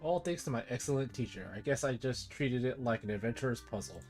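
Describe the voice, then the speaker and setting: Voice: mid-range voice, expressive, fast talker, a little mellow, faint music behind. A game streamer giving casual live commentary and reading on-screen dialogue aloud.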